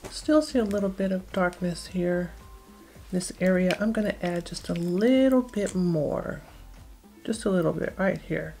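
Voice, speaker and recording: A woman's voice: on the low side, a little slow, dark tone, faint music behind. A middle-aged woman casually talking viewers through a makeup tutorial step by step, in an explanatory way. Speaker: beauty vlogger.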